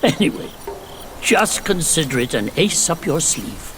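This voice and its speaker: An actor playing a small, quirky old earth god in a video game, helpful and excitable, with theatrical, folksy delivery and a creaky voice. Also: male voice, a little high, measured pace, heavy background noise. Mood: friendly, instructive. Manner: theatrical, folksy, chuckling, old-fashioned wording. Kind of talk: video game character acting.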